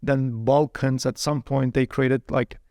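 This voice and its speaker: Gravelly man, native Chinese speaker. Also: mid-range voice, a little slow, no echo, clean studio sound. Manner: lively, laughs often, many "you know" fillers. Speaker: interview guest. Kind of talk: casual storytelling conversation.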